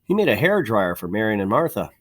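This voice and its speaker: A man reading a children's picture book aloud in an expressive, measured storytelling manner, stressing key words. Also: lowish voice. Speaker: storybook reader.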